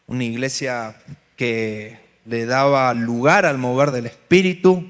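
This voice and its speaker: A man's voice: medium pitch, a little slow. A man with an Argentine Spanish accent, teaching a workshop to a church audience in a joking, storytelling way and asking them questions. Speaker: pastor leading a church workshop. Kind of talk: lively workshop talk to a congregation. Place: large hall with an audience. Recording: hall reverb.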